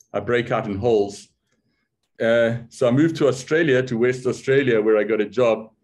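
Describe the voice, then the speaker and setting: Older South African male academic; relaxed, joking lecture voice. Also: mid-range voice. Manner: wry, self-deprecating humor; loose, conversational delivery with restarts. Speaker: academic speaker. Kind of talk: conference talk.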